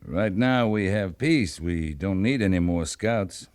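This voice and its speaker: A fair, firm american cavalry captain, lowish voice, dry sound, old radio western. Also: male voice, lively intonation, unhurried, darkish tone, clear, resonant tone, no room sound. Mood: measured, probing. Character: level-headed, humane male cavalry captain, firm but fair. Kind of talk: 1950s western radio drama acting.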